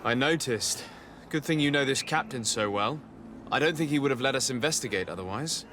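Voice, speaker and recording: A man acts out a tactful envoy in a video game, courteous and curious. His medium-pitched voice stays formal and composed, with light outdoor ambience underneath. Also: expressive, brisk, a bit bright, a little hiss or hum, lightly acted. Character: polite, composed male diplomat, a tactful inquisitive legate. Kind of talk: video game dialogue acting.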